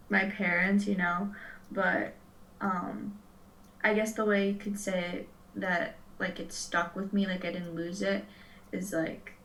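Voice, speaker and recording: A woman's voice: mid-range voice, fairly bright tone, slight background noise, low-quality recording. A young woman from Serbia answering interview questions casually, filling her speech with "like". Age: young adult. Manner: chatty, lots of "like" fillers.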